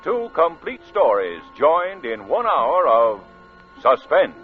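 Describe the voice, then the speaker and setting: A man's voice: on the high side, big pitch swings, takes it slowly, over loud music. A man announcing a suspense show in a dramatic, declamatory 1940s radio style. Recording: muffled old radio recording.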